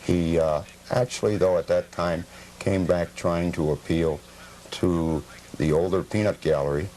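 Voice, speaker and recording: A middle-aged man with a very deep voice gives interview answers. He is measured and slightly formal, stating things plainly, on an old cable TV tape with faint noise. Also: steady tone, warm tone, poor audio. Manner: measured, formal, matter-of-fact. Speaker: interview guest, memorabilia collector. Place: television program set.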